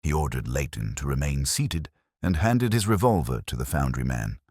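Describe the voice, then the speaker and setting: A man narrating a scripted mystery story as an audiobook narrator, in a measured, solemn way. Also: very deep voice, very animated, darkish tone, slightly rough, dry recording, studio quality.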